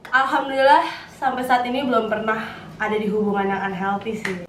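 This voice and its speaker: A woman's voice: on the high side, steady tone, fairly quick, a bit bright, roomy sound. Teen girl student, casual discussion, distant mic with some noise. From Indonesia. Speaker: university student.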